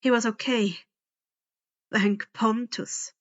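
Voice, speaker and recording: A woman reading first-person fiction aloud in a deliberate, dramatic storytelling style. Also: on the high side, fairly even intonation, highs cut off. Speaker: fan fiction audiobook reader. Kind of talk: expressive first-person scripted narration.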